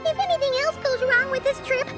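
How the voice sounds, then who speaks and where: A woman voicing a small, chatty, easily spooked fairy girl companion in a video game, whiny and excitable. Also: very high-pitched, fast. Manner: refers to self in third person, whiny, excitable. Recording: loud background music.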